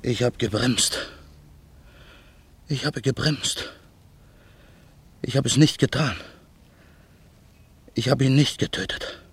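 An actor playing a brooding man bent on revenge, narrating his own thoughts in a radio play with a husky voice. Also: medium pitch, heavily acted. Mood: shaken. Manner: slow, brooding inner monologue. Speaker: narrator and lead character.

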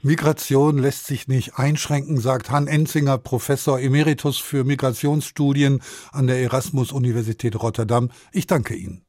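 A male radio host presenting and interviewing guests with crisp, scripted introductions. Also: medium pitch, steady tone, normal speed, a little mellow, no room sound.

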